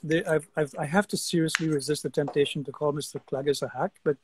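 A man's voice: fairly high pitch, steady tone, fast, background noise. A man in his sixties from Guyana, a guest artist chatting animatedly on a video call, often repeating his words. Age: 60s. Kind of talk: casual video-call conversation. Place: outdoor deck among trees. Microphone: video call webcam.

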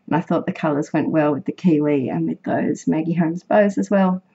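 Croaky-voiced young woman chatting. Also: low voice, moderate pace, mellow. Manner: chatty, rambling, with fillers and self-corrections. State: croaky, sick voice. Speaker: scrapbooking vlogger. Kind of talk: casual voice-over narration of a craft process. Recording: dull lo-fi home recording.

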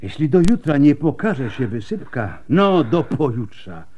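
An actor playing a blunt, world-weary, scarred plague doctor in a radio drama, gruff and emphatic, with bitter resignation. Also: male voice, medium pitch, big pitch swings, measured pace, a little mellow, muffled recording, a little hiss or hum. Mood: matter-of-fact, reassuring.

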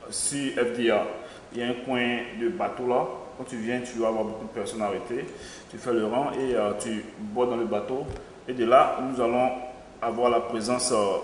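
A young man, an event organizer, answering interview questions hesitantly with many fillers. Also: medium pitch. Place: small studio with logo backdrop. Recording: echoey studio room, faint noise.

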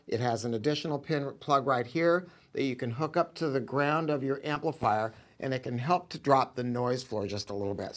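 An American man in his sixties presents a product review in a casual, enthusiastic way. His voice sits at a medium pitch. He stresses his points emphatically and keeps the wording informal, like a store owner selling something he believes in. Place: showroom, indoors.

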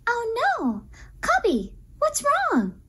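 A woman's voice: very high voice, wildly swooping pitch, drawn-out words, pushed and bright, full character voice. A woman voicing a kind young female lamb in a children's puppet show, sweet, girlish and reassuring. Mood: concerned.

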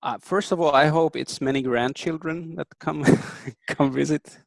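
A man in his thirties, a native German speaker, giving earnest, thoughtful interview answers with frequent pauses and ums. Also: on the high side, creaky, dry recording. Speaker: interview guest.

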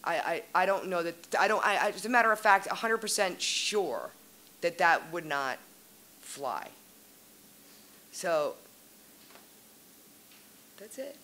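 A woman's voice: lowish voice, expressive, slow, pauses often, sharp tone, a little hiss or hum. A woman speaking hesitantly as a panelist in a lecture hall, restarting her sentences, at a lectern microphone. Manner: hesitant, repeating herself. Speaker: panelist at a symposium. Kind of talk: conference talk.

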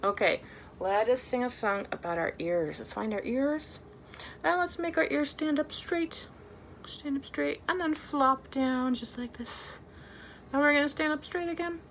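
A woman in her thirties leading a storytime for young children as a librarian, talking in an animated way with simple, exaggerated phrasing. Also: sits high, unhurried, a little hiss or hum. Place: small plain indoor room.

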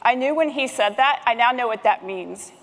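A woman's voice: fairly high pitch, normal speed. A middle-aged woman giving a prepared conference presentation from a stage as a speaker. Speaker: conference speaker, technology manager. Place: large conference stage, indoors. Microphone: headset microphone. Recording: long hall reverb.

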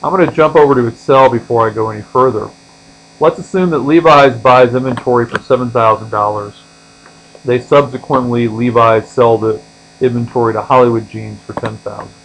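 Male instructor over a steady electrical hum. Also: mid-range voice, fairly quick, poor audio. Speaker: accounting instructor. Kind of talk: explanatory tutorial lecture, walking through on-screen examples.

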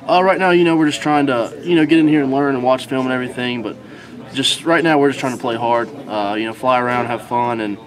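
A young man as a college football player, answering reporters in a halting way with many fillers and restarts, recorded on handheld recorders held close to him. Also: mid-range voice, a crowd in the background, rough recording. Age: young adult. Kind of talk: post-practice media scrum answers. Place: indoor hallway, crowd of reporters.

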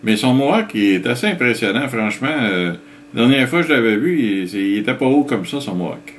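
A middle-aged man with a Québécois accent talks into a webcam at home and answers viewer messages one after another. His voice sits at an ordinary mid pitch and his delivery is loose and unhurried. A light hiss runs under the recording. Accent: Québécois French. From Quebec, Canada. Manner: relaxed, chuckling, explains step by step. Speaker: vlogger answering viewer comments. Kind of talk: casual chat reading out comments.

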